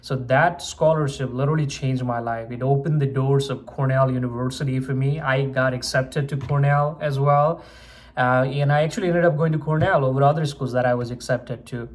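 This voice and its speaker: A man's voice: mid-range voice, steady tone, brisk. A man in his twenties from Nepal, a medical student telling his own story on his channel in an earnest way, with many fillers and restarts. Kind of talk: personal story told to camera.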